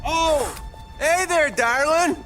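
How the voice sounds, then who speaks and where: A cocky, leering street punk. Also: male voice, extremely high pitch, lively intonation, slow pace, music in the background, exaggerated voice, low-quality recording. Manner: mocking, sing-song taunts.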